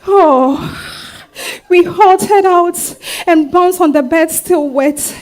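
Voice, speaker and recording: A woman's voice: very high-pitched, very slow, darkish tone, heavily acted. A woman with a Ghanaian accent playing an emphatic, wronged young wife and mother in a dramatized stage reading. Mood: sensual reminiscing. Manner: emphatic, exclamatory, with Ghanaian interjections. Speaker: stage actor. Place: live staged reading at a festival.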